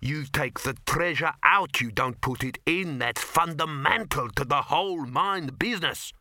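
A man's voice: fairly high pitch, unhurried, sharp tone, no room sound, pitched above the performer's own voice, exaggerated voice. A man voicing a pedantic, grumbling dwarf miner who argues and explains at length. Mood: aggrieved.

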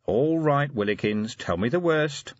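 A man's voice: medium pitch, lively intonation, a little slow, muffled recording, slight background noise, voice pushed up, natural voice. A man voicing a weary, sardonic police commander in an audiobook, blunt and grumbling. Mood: irritated. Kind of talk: audiobook character acting.

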